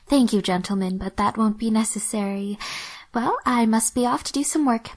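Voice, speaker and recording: Woman acting young female manager, fairly high pitch, gentle, polite, composed, formal, audio drama. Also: moderate pace.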